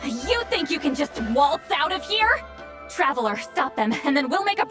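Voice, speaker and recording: Proud irritable shaman woman, high voice, very animated, dramatic, anime game style, music underneath. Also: moderate pace. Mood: fierce. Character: fierce, proud, irritable female shaman over two hundred.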